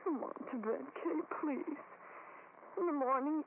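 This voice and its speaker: A woman's voice: sits high, unhurried. A woman playing a frightened, grieving, pleading young woman in an old radio horror drama, on a vintage recording. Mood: fearful, hushed. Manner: anguished, pleading, repeating herself. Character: frightened, grief-stricken young woman pleading with her friend. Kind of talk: 1940s radio horror acting. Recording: noisy, narrow-band vintage radio recording.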